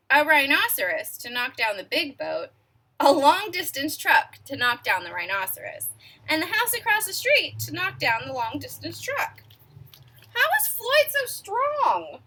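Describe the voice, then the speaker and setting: Bright, lively woman reading to kids. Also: sits high, breathy edge, poor audio. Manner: expressive, asks young listeners questions. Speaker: storyteller reading a picture book. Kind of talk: children's read-aloud.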